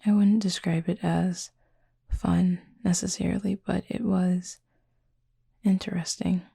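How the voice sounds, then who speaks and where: A woman acts out a courteous, softly curious cupid in an intimate audio roleplay. The voice is husky and breathy, steeped in gravelly creak, with a mellow tone. The phrasing is formal, measured and sincere. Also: lowish voice, flat delivery, dry recording, studio quality, full character voice. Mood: curious, reserved. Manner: polite, formal, measured, earnest. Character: gentle, formal, curious supernatural cupid roommate.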